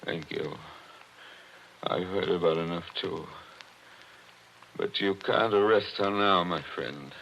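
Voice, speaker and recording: An actor playing a shaken, bitter attorney who speaks quietly in a 1940s radio mystery. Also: male voice, low voice, slow, gravelly, long pauses, full character voice. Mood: subdued bitter. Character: shaken middle-aged attorney, bitter and weary. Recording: dull old radio broadcast with faint hiss.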